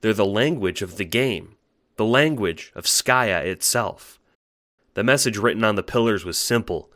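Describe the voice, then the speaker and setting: A man's voice: on the low side, lively intonation, unhurried, fairly bright tone. A man playing a meek, earnest young male troll who talks with a hesitant, apologetic stammer in an audio drama monologue. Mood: earnest, intrigued. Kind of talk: audio drama monologue, recounting adventures as a log.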